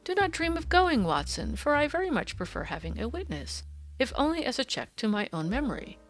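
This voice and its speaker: A woman voicing a suave, self-assured gentleman detective, courteous and precise, in an audiobook. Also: high-pitched, very animated, a little fast, soft background music, pitched above the performer's own voice, low-quality recording. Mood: brisk, intrigued. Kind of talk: audiobook character dialogue.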